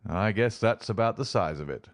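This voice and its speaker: Narrator voicing a worn-out frontiersman, low rough drawl, soft and patient. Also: lively intonation, no room sound, studio quality. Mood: gentle. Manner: rough frontier dialect, tender and slow. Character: weathered frontier man, gentle and resigned.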